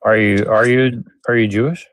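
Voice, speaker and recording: A man's voice: fairly low pitch, steady tone, normal speed, a bit bright, no echo. A man calling into a live stream, questioning earnestly and hesitantly, often repeating his words. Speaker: caller. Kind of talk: earnest argument on a live call.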